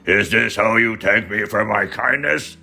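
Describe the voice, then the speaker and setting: A man voicing an ugly, commanding yet lovesick beast in a storybook, theatrical and raspy. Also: lowish voice, unhurried, sharp tone, faint music behind, pitched above the performer's own voice, full character voice. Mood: angry, demanding. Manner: theatrical, emphatic.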